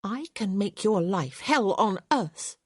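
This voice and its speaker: A woman voicing a proud, sharp-tongued young noblewoman in an audiobook, her speech haughty, clipped and cutting. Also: mid-range voice, expressive, moderate pace, breathy edge, no room sound, clean studio sound, voice pushed up. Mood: furious. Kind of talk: audiobook character voice.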